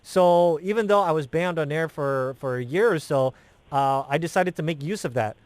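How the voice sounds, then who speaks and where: A radio host who grew up in Turkey tells a lively story and acts out the dialogue as he goes. His voice sits slightly high. The studio sound is close and dry. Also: moderate pace, dry recording. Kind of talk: casual radio chat, storytelling.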